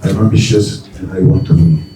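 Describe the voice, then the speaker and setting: A middle-aged man with a French accent, a new football coach speaking at a press unveiling in halting English, restarting words and using short plain sentences. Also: low voice, steady tone, background noise. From France and Mali. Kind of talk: formal speech at a press unveiling. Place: press conference hall. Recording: echoey room, far from the mic.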